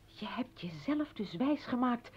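An actress playing a hurt, scornful ex-wife in a radio play, sarcastic and accusing. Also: female voice, fairly high pitch, animated, measured pace, darkish tone. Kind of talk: Dutch radio play acting. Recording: dull, distant old radio recording.

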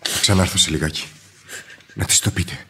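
An actor playing an earnest, proud young nephew in love in a radio drama. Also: male voice, deep voice, pushed and bright. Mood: offended, firm. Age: young adult. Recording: noisy old radio recording.

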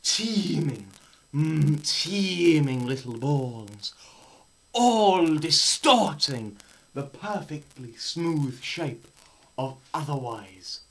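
A young man giving a dramatised science lecture, theatrical, swinging between shouts and hushed murmurs, with pauses and repetition for effect. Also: fairly high pitch, very animated, low-quality recording. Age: young adult. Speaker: presenter. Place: small bare indoor room.

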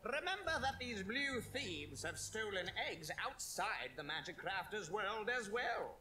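A man's voice: high-pitched, very animated, measured pace, a crowd in the background. An actor playing a flamboyant male dragon giving advice in a fantasy video game. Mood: instructive. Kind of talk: scripted game character dialogue.

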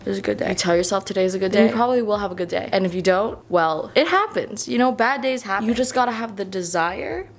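A woman's voice: medium pitch, animated, fast, a little hiss or hum. A 19-year-old woman from Canada with a North American accent, chatting casually to a handheld camera in a slangy, candid, self-deprecating way. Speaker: vlogger, high school student. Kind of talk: casual chatty daily vlog. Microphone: handheld camera at arm's length.

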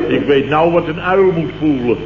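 An actor from the Netherlands with a Dutch accent, playing a sleep-starved, self-pitying, blustering company boss who rambles and repeats himself in a comic radio play. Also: male voice, a little high, moderate pace, lightly acted. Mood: groggy, complaining. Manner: rambling, repetitive, exclamatory grumbling. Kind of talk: late 1960s comic radio play acting. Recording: dull, noisy, roomy old radio recording.

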